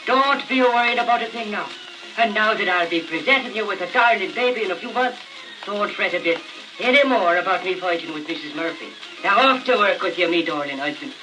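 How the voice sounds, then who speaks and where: A man's voice: high voice, fairly quick, pitched above the performer's own voice, natural voice. A man voicing a doting, feisty Irish housewife with a gossipy, effusive manner on an old comedy record. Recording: noisy crackling 78 rpm record, distant.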